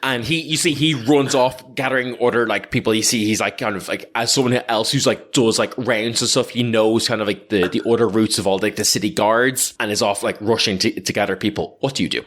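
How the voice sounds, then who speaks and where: A man narrating a tabletop game as game master, improvising casually and haltingly with plenty of "like". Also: fairly low pitch, fairly bright tone. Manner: halting, casual, many likes.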